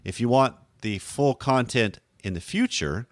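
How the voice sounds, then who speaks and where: A man hosting a podcast in a relaxed, chatty way, with a slightly hoarse deep voice and a habit of correcting himself as he goes. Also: a little slow, no room sound, clean studio sound. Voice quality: deep radio voice. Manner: conversational, rambling, self-correcting. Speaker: podcast host. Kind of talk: casual podcast talk.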